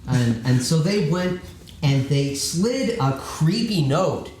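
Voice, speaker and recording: A man with a bass voice telling his band's story in casual podcast chat, rambling and swearing freely. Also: very animated, slow pace, a little mellow. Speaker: band frontman. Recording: roomy echoey studio, faint noise.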